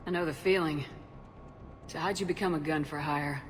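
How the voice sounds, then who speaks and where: A woman's voice: low voice, steady tone, moderate pace, a little hiss or hum, lightly acted. A woman playing a resolute, battle-hardened military commander in a video game, direct and controlled in her delivery. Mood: probing, personal. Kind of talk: dramatic video game acting.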